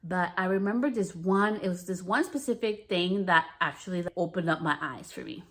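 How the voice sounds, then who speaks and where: A woman in her thirties with a deeper natural voice, hosting a podcast in a frank, candid monologue that swears freely. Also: moderate pace. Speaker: podcast host.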